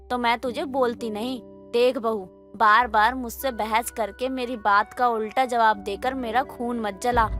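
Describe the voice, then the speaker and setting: A woman's voice: fairly high pitch, a bit bright. A woman voicing an exasperated, scolding middle-aged mother-in-law in a moral story cartoon, complaining and nagging. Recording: music under the voice.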